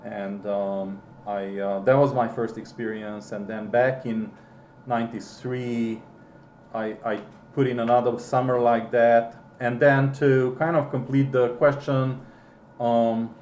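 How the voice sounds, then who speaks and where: A middle-aged man who is not a native English speaker, with a foreign accent, recalling his past slowly and hesitantly, with many ums and restarts. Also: medium pitch, level tone, a little mellow, echoey room, slight background noise. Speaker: interviewee. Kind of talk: casual recollection.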